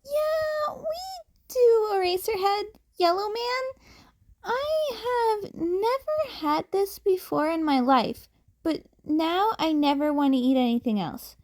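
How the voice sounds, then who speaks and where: A woman's voice: very high-pitched, lively intonation, slow pace, darkish tone, pitched above the performer's own voice, exaggerated voice. A woman voicing a cheeky young cat boy in a fan fiction audiobook, polite and innocent on the surface but defiant. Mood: eager, whiny.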